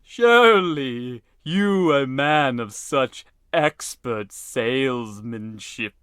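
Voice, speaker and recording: A sly radio-play villain acted by a man, an innkeeper who draws his words out very slowly in a clean, resonant voice. He is courtly and oily, a theatrical schemer out to recruit his victims. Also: mid-range voice, expressive, a bit bright, clear, resonant tone, dry recording, studio quality. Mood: sly coaxing. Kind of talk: parody of old radio drama.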